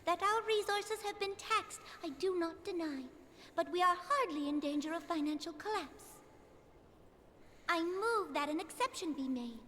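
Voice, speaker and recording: A benevolent young-sounding sultana in a video game. Her voice is very high, yet regal and formal. A light layer of noise sits behind her measured words. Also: pushed and bright. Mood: earnest, firm. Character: dignified, benevolent female sultana, earnest and regretful.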